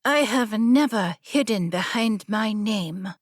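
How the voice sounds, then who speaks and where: A woman's voice: medium pitch, animated, takes it slowly, no echo, studio quality. A woman voicing a proud, haughty teenage noblewoman warrior with formal, clipped, imperious speech in an audiobook story. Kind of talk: audiobook character dialogue.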